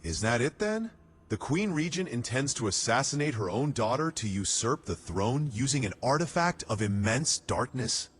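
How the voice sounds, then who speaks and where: A man's voice: mid-range voice, very animated, moderate pace, slightly rough. A man playing a stern, dutiful castle knight who speaks formally in a fantasy audio drama. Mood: wary.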